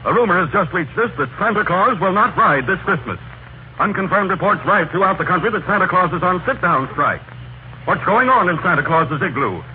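A man's voice: high voice, expressive, fairly quick, narrow, tinny audio. A man announcing on a 1940s radio show in a polished, salesmanlike way, on a thin, crackly recording.

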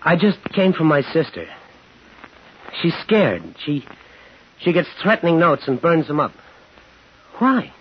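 An actor playing a determined, angry war veteran in a 1950s radio thriller, terse and insistent. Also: male voice, on the high side, sing-song, a little slow, pauses often, breathy edge, full character voice. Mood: angry, demanding. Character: determined, angry young war veteran hunting his brother-in-law's killer. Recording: dull 1950s radio broadcast with music.

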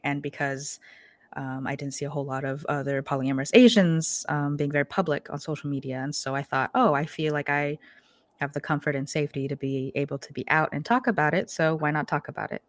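Chatty low-voiced American woman. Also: no echo. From Portland, Oregon, US. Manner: chatty, many ums and likes, laughs easily. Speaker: guest, social media creator. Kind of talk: casual interview answers.

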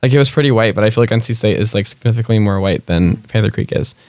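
A young man from South Florida chats as a guest, rambling easily and peppering his talk with fillers. His voice sits a little low, and the recording is close and dry, with no room around it. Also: racing, no echo. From South Florida, United States. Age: young adult. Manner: relaxed, rambling, lots of "like" fillers. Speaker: guest, college student. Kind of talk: casual conversational interview.

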